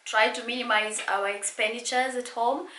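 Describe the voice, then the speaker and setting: A woman's voice: a little high, steady tone, normal speed, sharp tone. A woman in her late twenties from Kenya with a Kenyan accent, chatting casually and playfully as she co-hosts a Q&A vlog. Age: late 20s. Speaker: vlogger co-hosting a couples' channel. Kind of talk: casual Q&A chat. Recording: echoey room.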